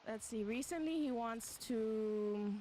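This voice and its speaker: A woman's voice: fairly high pitch, slow, mellow, a little hiss or hum. A woman from Mexico speaking casually and defensively as a guest in a panel debate. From mostly Mexico, born in Texas. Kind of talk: casual debate, answering questions.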